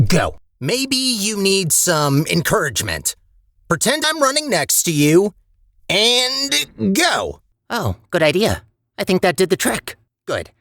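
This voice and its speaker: An actor voicing a chatty, sardonic robot facilities manager in a video game, wry and condescending with officious politeness. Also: male voice, mid-range voice, a bit bright, no room sound, clean studio sound, heavily acted. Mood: brisk, approving. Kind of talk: scripted video game voice acting.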